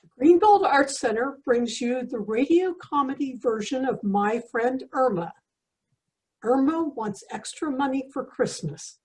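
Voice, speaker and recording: Woman announcer for a vintage radio comedy, measured delivery, high breathy-rough tone. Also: expressive, a little mellow, poor audio. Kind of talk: old-time radio show announcement.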